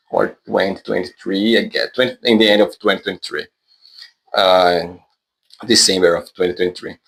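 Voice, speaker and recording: Male vlogger, lowish voice, Brazilian accent, Portuguese native; casual unscripted delivery, feels his way through sentences and corrects himself. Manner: hesitant, halting, self-correcting non-fluent English. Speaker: vlogger, English learner. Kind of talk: unscripted casual monologue to viewers.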